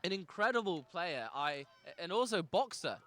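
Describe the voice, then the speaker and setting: A young man from Formby giving enthusiastic live rugby co-commentary, quick to agree and chime in. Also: high voice, big pitch swings, pushed and bright. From Formby, England. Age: young adult.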